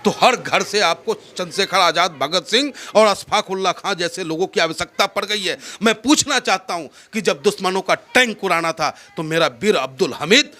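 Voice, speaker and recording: A man's voice: high voice, expressive, moderate pace, hardly any pauses, bright, forceful tone. A man from India with a North Indian accent, speaking in an impassioned, oratorical way as a political interviewee, emphatic and repeating phrases for effect.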